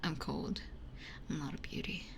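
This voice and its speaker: A woman streamer chatting casually in an ASMR style, blunt and giggly, close to a binaural microphone. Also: unhurried. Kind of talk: whispered ASMR casual chat.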